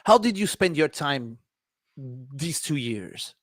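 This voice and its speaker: A man from Portugal with a Portuguese accent, speaking English as a second language, hosting an interview in halting, repetitive speech. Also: medium pitch, big pitch swings, a bit bright. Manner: halting non-native English, repeats phrases. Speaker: radio-style interview host, DJ. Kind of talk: casual interview chat.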